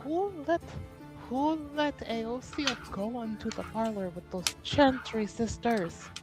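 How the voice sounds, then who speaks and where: A woman's voice: a little high, slow pace, music in the background, poor audio. A woman playing a polite, controlled but exasperated noblewoman who manages an estate.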